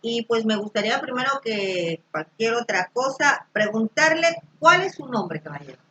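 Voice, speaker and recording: A woman's voice: a little high, very animated, normal speed, bright, forceful tone, slightly rough. A woman interviewing a guest, asking short, polite questions in a formal way. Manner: polite, brief, formal address. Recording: dull lo-fi sound.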